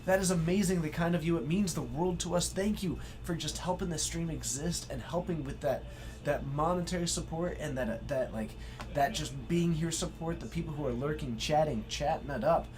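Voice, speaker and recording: An excitable man hosting a live stream, giving play-by-play card game commentary and effusively thanking his viewers. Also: a little high, brisk. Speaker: stream host and match commentator.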